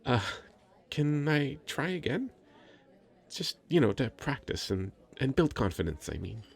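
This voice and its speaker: A man's voice: mid-range voice, very animated, unhurried, gravelly, husky, full character voice. A man playing a shy, sweet, nervous young nerd in an intimate ASMR roleplay, stammering and rambling self-consciously. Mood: shy, playful. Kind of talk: scripted ASMR roleplay, intimate conversational acting.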